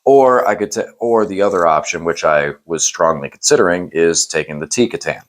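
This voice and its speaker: A man hosting a casual post-game chat, hoarse and losing his voice, talking in rambling, self-correcting runs and teasing his co-host. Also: low voice, animated, fairly quick. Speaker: channel host.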